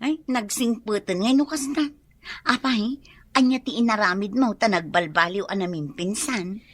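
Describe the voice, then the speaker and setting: A woman's voice: mid-range voice, moderate pace, natural voice. A woman playing a nagging, exasperated wife in an Ilocano radio drama, with an Ilocano accent. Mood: gossiping.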